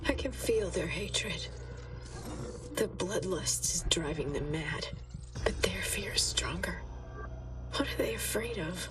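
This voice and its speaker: A shaken, sleepless scientist in a game's audio log. Her breathless voice sits a little back from the mic. Loud music plays under her. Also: on the low side, takes it slowly, pauses often, distant mic. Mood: haunted. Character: haunted, sleepless female scientist.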